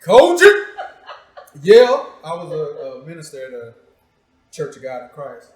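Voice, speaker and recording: Echoey, higher-voiced man hosting casually. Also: wildly swooping pitch, measured pace. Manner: informal, playful, teasing, repeats himself. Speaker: talk show host. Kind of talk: casual interview chat, profane joking. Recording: very echoey room, distant mic.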